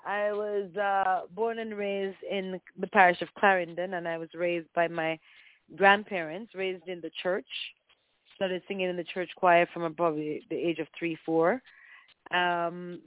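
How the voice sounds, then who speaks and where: A woman's voice: fairly low pitch, flat delivery, unhurried, no echo. A woman singer from Jamaica, a guest on a radio phone-in, who tells her story in a bubbly way with frequent laughs. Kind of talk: casual radio interview by phone. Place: home in Toronto. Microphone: phone call. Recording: tinny phone line.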